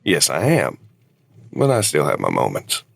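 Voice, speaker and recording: A man's voice: a bass voice, wildly swooping pitch, normal speed, gravelly, fairly bright tone, husky, no echo, heavily acted. A man playing a weary older husband and war veteran, regretful but devoted, in an audio drama. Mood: wistful, regretful.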